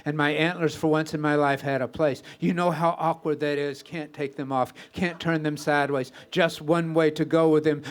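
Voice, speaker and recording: A man's voice: mid-range voice, lightly acted. A man telling a comic story as a weary, rambling deer with a folksy, conversational manner. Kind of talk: first-person comic storytelling.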